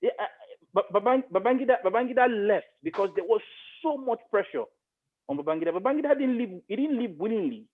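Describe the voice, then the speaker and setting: A man's voice: high-pitched, expressive, fast talker, phone-quality sound. A middle-aged Nigerian man giving emphatic political commentary as an interview guest, repeating phrases for stress. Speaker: interview guest, media expert.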